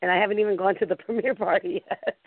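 A woman with a deep, raspy voice, hoarse and gravelly, hosts a live internet talk show. She chats in an excitable, rambling way and keeps talking to her listeners. The sound is dry and tinny, like a phone line. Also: quick pace, no echo. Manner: rambling, excitable, talks to the chat room. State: hoarse from a concert. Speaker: podcast host. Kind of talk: casual live internet radio chat.

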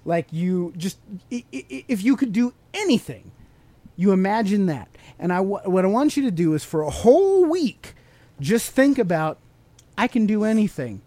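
A man's voice: high voice, sing-song, normal speed, warm tone, dry recording. A man hosting a podcast, teaching motivational ideas in a conversational, explanatory way and putting questions to the listener. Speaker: podcast host.

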